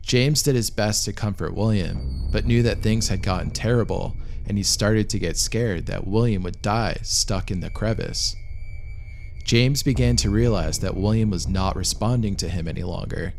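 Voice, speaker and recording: A man reading scripted documentary narration of a true disaster story in a measured, matter-of-fact way. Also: fairly low pitch, a little mellow. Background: background music.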